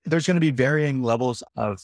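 A man presenting a casual software walkthrough, explaining settings step by step and correcting himself as he goes. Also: mid-range voice, a little fast, dry recording, clean studio sound. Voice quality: self-correcting, conversational. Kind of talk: screen-recorded software demo walkthrough.

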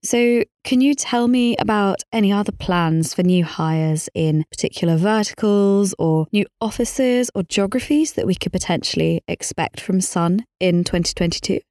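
A woman's voice: mid-range voice, normal speed, hardly any pauses, a little mellow, no room sound, studio quality. A woman hosting a business podcast, speaking in a polite, articulate and formal interviewing manner.

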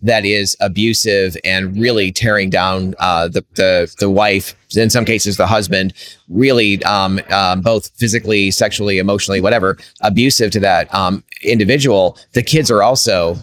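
A man's voice: fairly low pitch, steady tone, normal speed, a bit bright, no echo. A man hosting a faith-based interview podcast, speaking thoughtfully and hesitantly, with frequent restarts. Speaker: podcast host.

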